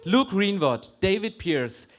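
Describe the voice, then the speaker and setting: Man, pastor, high-pitched voice, church welcome speech, switches languages. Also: sing-song, slow pace. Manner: switches between German and English, apologetic asides. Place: church hall.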